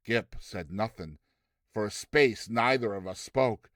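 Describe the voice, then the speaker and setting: A man reading a classic story aloud as a theatrical, expressive narrator with deliberate delivery. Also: fairly low pitch, dry recording, studio quality. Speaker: narrator, reader.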